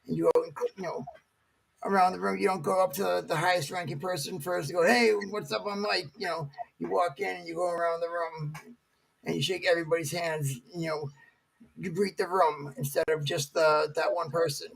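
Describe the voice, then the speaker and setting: A man's voice: on the high side, level tone, moderate pace, creaky, a bit bright, husky, rough recording. A middle-aged man, a military veteran guest, chatting casually with many fillers and restarts. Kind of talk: casual talk show chat.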